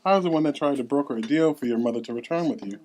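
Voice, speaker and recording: A man's voice: mid-range voice, rapid-fire, a little mellow, natural voice. A man reading the part of a solemn exiled council elder in an earnest, expository way at a script table read. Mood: grave, explanatory.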